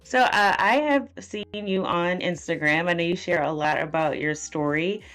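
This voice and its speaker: A woman's voice: fairly low pitch, normal speed, a bit bright, faint music behind. A woman hosting a podcast, asking loose, friendly interview questions with fillers. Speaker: podcast host.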